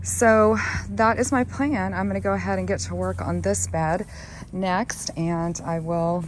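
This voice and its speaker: A hoarse woman who has partly lost her voice, chatting loosely in a garden vlog with lots of fillers. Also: mid-range voice, fairly even intonation, a little slow, slight background noise, rough recording. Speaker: gardening vlogger. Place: backyard garden, outdoors.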